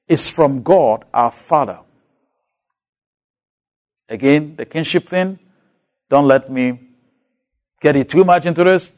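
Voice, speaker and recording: Slow, animated African-accented male preacher. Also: a little high. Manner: emphatic, repeats key words, slows on phrases. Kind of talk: expository sermon.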